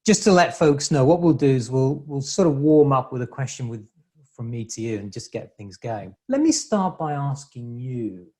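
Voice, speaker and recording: A man hosting an interview, reading a measured, prepared introduction and then putting questions to his guest. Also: mid-range voice, expressive, darkish tone. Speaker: host.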